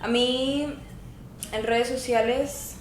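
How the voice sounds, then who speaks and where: A woman's voice: sits high, fairly even intonation, drawn-out words. A woman in her twenties with a Colombian Spanish accent, co-hosting a podcast and telling her own stories in a chatty way, laughing often. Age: 20s. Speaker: podcast co-host. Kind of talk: casual chat. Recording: echoey room with faint ambience.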